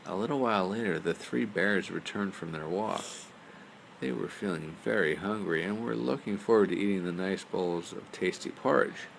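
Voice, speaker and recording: A man's voice: low-pitched, normal speed, gravelly, rough recording. A man narrating a bedtime story aloud as a storyteller. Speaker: storyteller, father reading aloud. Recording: faint background noise.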